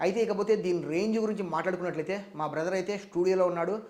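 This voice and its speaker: A man's voice: high voice, fairly even intonation, racing, darkish tone. A man with a Telugu accent presenting a product review, explaining things step by step to viewers. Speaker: tech reviewer.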